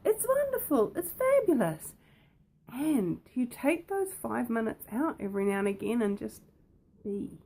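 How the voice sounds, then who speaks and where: A woman with a croaky voice, hosting and talking casually to the viewer, playful and full of questions put straight to them. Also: on the high side, mellow. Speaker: host. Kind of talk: casual talk to camera.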